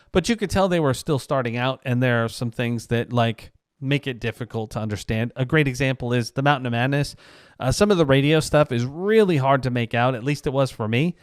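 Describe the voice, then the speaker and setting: A man presents a review in a casual, off-the-cuff style. His voice is clean and smooth, recorded dry with hardly any room sound. He speaks informally and with gushing enthusiasm, like a host sharing favourites. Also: medium pitch, no room sound. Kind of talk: casual solo review talk.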